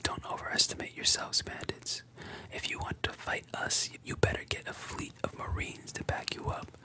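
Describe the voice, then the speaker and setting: A storyteller voicing a composed adult male pirate first mate in a soft whisper. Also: moderate pace, close to an everyday voice. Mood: cool.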